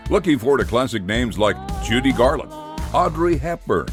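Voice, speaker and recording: A man's voice: mid-range voice, very animated, normal speed. A man reading an advertising voice-over for a classic film service. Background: music.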